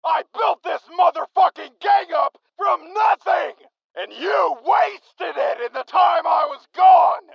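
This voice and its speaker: A furious raider gang boss in a wasteland radio play. His voice is very high and strained, thick with gravel. He spits curses and vicious threats, in dull, close sound. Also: takes it slowly, no room sound, some chatter behind, heavily acted. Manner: shouting, swearing, menacing. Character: enraged foul-mouthed raider gang boss, cruel and vengeful. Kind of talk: post-apocalyptic audio drama acting.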